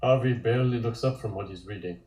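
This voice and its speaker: A man's voice: lowish voice, fast, a little mellow. A man reading scripted scene descriptions as the narrator of a table read. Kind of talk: scripted stage-direction narration. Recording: roomy, echoey room.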